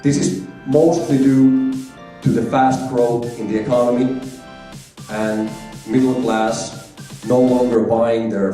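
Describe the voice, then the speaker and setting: A man's voice: medium pitch, mellow. A man from Finland giving an emphatic conference presentation, repeating key figures for effect. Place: large conference hall. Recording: echoey hall, heard from far off.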